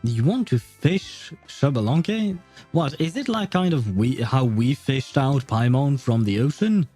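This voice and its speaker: A man's voice: mid-range voice, animated, measured pace, warm tone, faint music behind. A young man casually commenting on his gameplay, chatty, laughing often and swearing. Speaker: game streamer.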